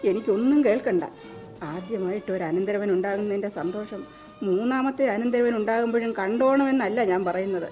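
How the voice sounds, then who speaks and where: A woman's voice: medium pitch, fairly quick, dark tone, soft background music, natural voice. An actress playing a reproachful older mother in a radio drama, scolding emphatically in a southern Kerala Malayalam accent. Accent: Kerala Malayalam, southern Travancore. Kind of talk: mid-century Indian radio drama acting.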